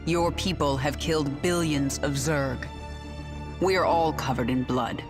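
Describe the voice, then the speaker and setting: A ruthless queen of an alien swarm in a game, speaking with steady cold command. Her mid-range voice sits over strong music. Every word sounds measured and sure. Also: low-pitched. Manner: commanding, controlled.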